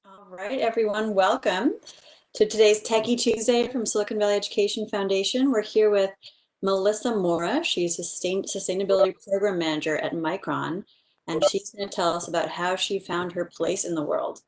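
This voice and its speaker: Woman webinar host, quick, plain intro delivery. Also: mid-range voice, fairly even intonation, a little hiss or hum, poor audio. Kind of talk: introducing a guest.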